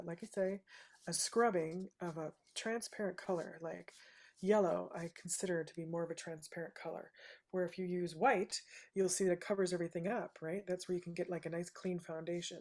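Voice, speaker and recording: Soft-spoken woman teaching painting. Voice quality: soothing low voice. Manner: soft-spoken, gentle, says "right?" often. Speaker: painting instructor. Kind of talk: live painting demonstration.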